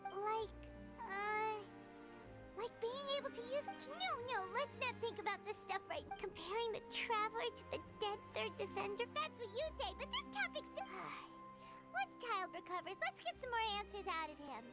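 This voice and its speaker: Squeaky, very high childlike fairy girl. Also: very animated, moderate pace, heavily acted. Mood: puzzled, worried. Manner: exclamatory, refers to self in third person. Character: tiny childlike fairy companion, excitable, inquisitive girl. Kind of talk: video game character acting. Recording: loud background music.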